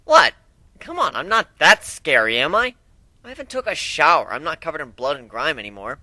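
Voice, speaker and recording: A man's voice: on the high side, big pitch swings, fairly quick, pushed and bright, dry recording, heavily acted. A man voicing a tough, joking, protective griffin warrior with a brash, casual way of talking in a story reading.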